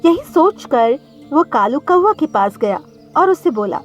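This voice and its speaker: A woman's voice: high-pitched, sing-song, unhurried, soft background music. A woman narrating a scripted cartoon story as the storyteller.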